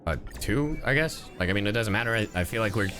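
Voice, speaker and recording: A man's voice: fairly low pitch, expressive, fast. A man chatting casually as a gaming streamer, joking and swearing freely. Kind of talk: casual gaming livestream chat. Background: game music.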